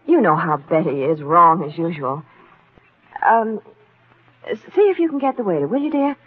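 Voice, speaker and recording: Husky, genteel wife. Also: female voice, lowish voice, expressive, normal speed. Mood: placating, weary. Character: genteel, placating wife of the schemer. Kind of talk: 1940s radio drama acting. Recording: tinny old radio broadcast.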